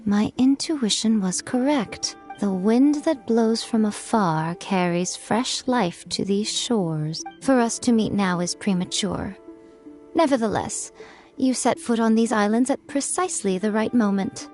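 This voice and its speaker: An actress playing a mysterious, poised shrine lady who speaks in a measured, enigmatic way, in a video game cutscene. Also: mid-range voice, darkish tone, faint music behind, natural voice.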